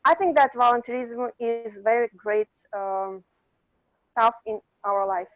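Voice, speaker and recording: Woman, unhurried and halting, slightly high voice, on a dull dry call line. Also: no echo. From Kazakhstan. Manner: halting, many fillers. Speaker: participant. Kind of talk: introducing herself on a video call. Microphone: video call. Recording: muffled, dry call audio.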